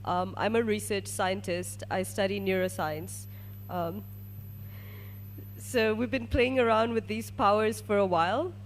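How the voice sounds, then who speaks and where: A woman's voice: medium pitch, fairly even intonation, measured pace, slight background noise. A woman from Malaysia, a research scientist, telling a personal story to an audience as a testimonial.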